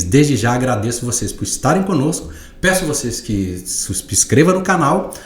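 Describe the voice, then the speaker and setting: Eager podcast host, roomy studio. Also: male voice, mid-range voice. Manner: enthusiastic, promotional intro. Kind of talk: podcast hosting. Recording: echoey studio.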